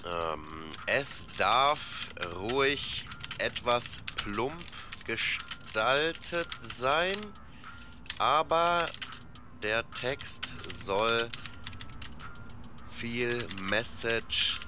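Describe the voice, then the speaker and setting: A man in a casual audio drama, talking slangily and haltingly as he thinks aloud. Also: mid-range voice, noisy background. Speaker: rapper playing himself in an audio drama.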